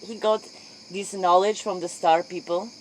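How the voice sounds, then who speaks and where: A late-night interviewer speaks at a medium pitch in an improvised mock interview. The voice echoes and rephrases each answer. Faint noise and crickets sit behind it. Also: steady tone, moderate pace. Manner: echoes and paraphrases the guest's answers.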